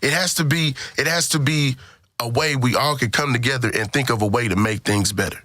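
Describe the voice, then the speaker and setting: A man's voice: medium pitch, brisk, sharp tone, no echo, clean studio sound. A man hosting a casual panel discussion, speaking emphatically and repeating phrases for stress. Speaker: podcast host.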